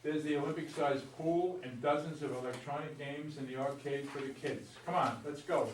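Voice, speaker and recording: A man's voice: a little high, fairly even intonation, moderate pace, close to an everyday voice. A man reading the part of a brash, world-weary gambler husband in an amateur stage play. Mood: exasperated, defensive. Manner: blunt, colloquial, grumbling. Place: indoor room at a live reading. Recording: echoey room, distant mic, noisy.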